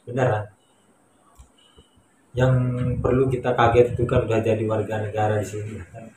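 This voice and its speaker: A man's voice: on the low side, little pitch movement, normal speed, a little mellow. A man with an Indonesian accent chatting casually to viewers in an emphatic, colloquial, repetitive way. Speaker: vlogger, Indonesian migrant worker in Malaysia. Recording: echoey room with faint noise.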